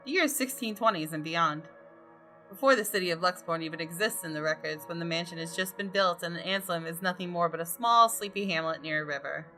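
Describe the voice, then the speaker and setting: A woman reading visual novel narration aloud with deliberate, dramatic storytelling. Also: lowish voice, sharp tone. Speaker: gaming video host. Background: music.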